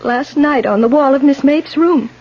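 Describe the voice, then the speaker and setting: An actress in an old radio drama playing a frightened, suspicious, strong-willed landlady, speaking in an anxious, insistent and halting way. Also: high-pitched, close to an everyday voice. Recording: muffled, hissy old radio broadcast recording.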